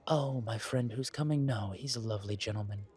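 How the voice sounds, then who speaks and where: A man playing a genteel, world-weary vampire in an audio roleplay, speaking in a courtly, deliberate way with pauses and sighs. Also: fairly low pitch, darkish tone, slightly rough. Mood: wry, amused. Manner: courtly, deliberate, pausing, sighing between phrases. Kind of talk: slow audio roleplay acting, addressed to listener.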